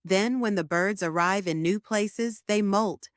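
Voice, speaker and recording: A woman's voice: mid-range voice, a little slow, no echo, studio quality. A woman reading a scripted academic passage aloud as a model for test practice. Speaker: test prep model reader.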